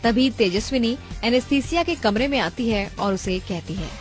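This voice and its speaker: A woman's voice: a little high, expressive, normal speed, faint music behind. A woman reading scripted story narration as the narrator.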